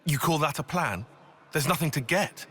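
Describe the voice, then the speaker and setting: A man's voice: on the high side, moderate pace, a bit bright, husky. An actor playing a cautious, exacting young man in a video game, dry and critical in his delivery. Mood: skeptical.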